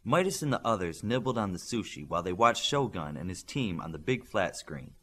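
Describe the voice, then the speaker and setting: A man reading a novel aloud as a scripted audiobook narration, with expressive delivery. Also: sits low, brisk.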